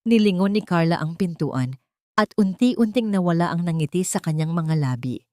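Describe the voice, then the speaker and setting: Low-voiced woman narrating melodrama. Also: expressive, normal speed, warm tone, no echo, clean studio sound. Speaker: audio drama narrator. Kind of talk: dramatic scripted storytelling.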